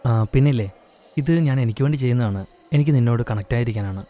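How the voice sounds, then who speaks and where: A man's voice: medium pitch, quick pace, faint music behind, close to an everyday voice. A man voicing a kind young husband who coaxes and reassures his wife. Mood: coaxing. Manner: gentle, coaxing.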